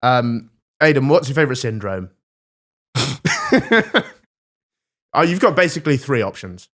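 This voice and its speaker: Giggly podcast host, lively voice. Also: male voice, medium pitch, measured pace. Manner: deadpan, giggly. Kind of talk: casual comedy podcast chat.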